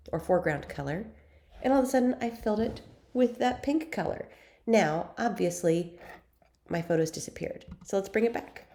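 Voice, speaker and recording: A young woman with a cold, sounding raspy and congested, chatting her way through a photo-editing tutorial with frequent ums and self-deprecating asides. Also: lowish voice, mellow. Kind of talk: screen-recorded software tutorial.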